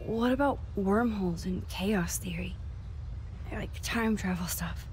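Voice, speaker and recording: An actress playing an earnest, curious teenage girl student in a video game, soft and a little hesitant. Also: medium pitch, unhurried, soft background music, close to an everyday voice. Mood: worried, curious. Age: young adult. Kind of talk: video game dialogue acting.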